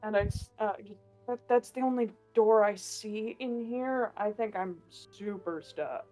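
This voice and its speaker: A woman playing in a casual online tabletop game, speaking in a relaxed, playful way. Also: medium pitch, unhurried, faint music behind. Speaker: player.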